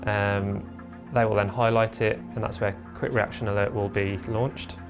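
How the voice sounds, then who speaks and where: A man's voice: fairly low pitch, flat delivery, normal speed, creaky, music in the background. A man, a military instructor, explaining his work informally in an interview.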